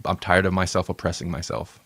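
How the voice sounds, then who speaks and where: A man's voice: sits low, fairly even intonation, a little fast, fairly bright tone, no echo. A male podcast host in casual banter, playful and rambling, laughing often.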